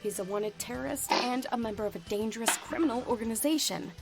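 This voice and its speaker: A woman voicing a sharp, guarded detective boss with a short temper in a visual novel game. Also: a little high, quick pace, natural voice. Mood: guarded, curt. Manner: curt, defiant. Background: music.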